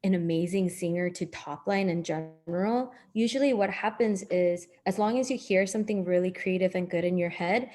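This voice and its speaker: A woman songwriter answering student questions on a video call, explaining in a relaxed way with ums. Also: lowish voice, normal speed, hardly any pauses. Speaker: guest songwriter and topliner.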